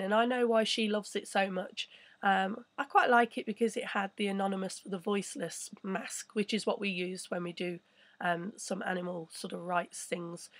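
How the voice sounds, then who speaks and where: A woman hosting a casual live stream, chatting and rambling with a croaky voice. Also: mid-range voice, no echo. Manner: chatty, rambling, reads viewer messages. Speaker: crypto channel host.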